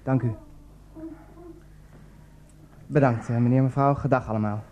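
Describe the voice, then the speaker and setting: A man's voice: medium pitch, steady tone, fast talker, mellow, highs cut off, lightly acted. An actor playing a polite, timid, injured young man in a 1990s radio drama, hesitant and apologetic. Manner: hesitant, deferential.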